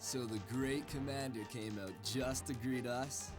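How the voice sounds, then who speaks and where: An actor playing a cocky, hot-tempered robot fighter in a video game, brash and taunting. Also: male voice, medium pitch, fairly even intonation, measured pace, close to an everyday voice. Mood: mocking. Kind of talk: dramatic video game cutscene acting. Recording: loud game music behind. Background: loud game music.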